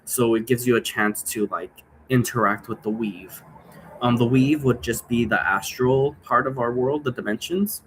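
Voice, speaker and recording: A man's voice: medium pitch, moderate pace, fairly bright tone, a little hiss or hum, rough recording. A man giving a casual, rambling explanation in an informal way, often checking for agreement. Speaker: game creator explaining rules.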